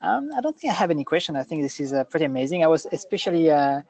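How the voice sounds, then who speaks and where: Measured man giving praise on a call. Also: fairly high pitch, sing-song, a little mellow. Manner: measured, with frequent uh fillers. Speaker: product executive, caller.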